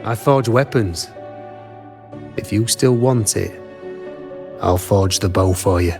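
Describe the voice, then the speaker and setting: A terse, wise weaponsmith. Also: male voice, fairly low pitch, takes it slowly, music in the background. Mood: calm. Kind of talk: game voice acting.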